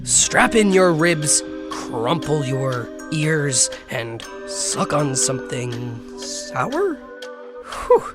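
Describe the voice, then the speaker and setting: Very low-voiced, bumbling creature horror host. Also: female voice, very animated, drawn-out words, over loud music, exaggerated voice. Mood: flustered, playful. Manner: bumbling, theatrical. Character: bumbling, theatrical hoofed creature hosting horror tales. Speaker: podcast host and storyteller. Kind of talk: playful scripted horror narration.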